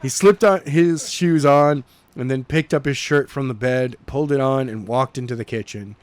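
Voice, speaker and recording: A man reading a story aloud as an amateur narrator, halting and stumbling over the text now and then. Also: mid-range voice.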